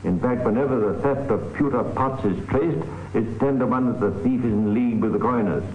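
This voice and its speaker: A man's voice: mid-range voice, fairly quick, close to an everyday voice. A man playing an authoritative narrator and guide in an old radio crime drama. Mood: worldly, explanatory. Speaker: narrator and host. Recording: dull, echoey, noisy old radio recording.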